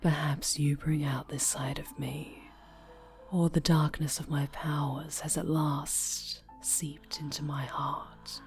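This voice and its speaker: A sinister, obsessive dark angel played by a woman speaks in a low, unhurried voice. She slips in and out of breathy whispers, tender and menacing at once, in an intimate ASMR drama with clear music underneath. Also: little pitch movement. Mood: dark, seductive. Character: possessive, obsessive dark-angel superheroine turned sinister villain.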